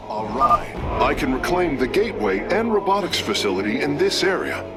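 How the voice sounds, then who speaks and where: An actor playing an eager, analytical alien engineer in a sci-fi game, speaking formally and precisely. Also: male voice, fairly high pitch, big pitch swings, moderate pace. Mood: thoughtful, focused. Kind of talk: dramatic sci-fi game voice acting. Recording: loud game music behind.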